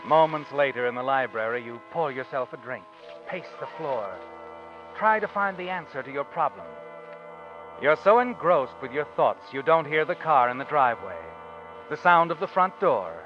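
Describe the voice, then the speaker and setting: An actor playing an ominous, knowing storyteller, narrating in the second person in 1940s radio suspense style. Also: male voice, medium pitch, expressive, moderate pace, lightly acted. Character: ominous, knowing storyteller addressing the guilty man. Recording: muffled old radio recording. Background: dramatic music.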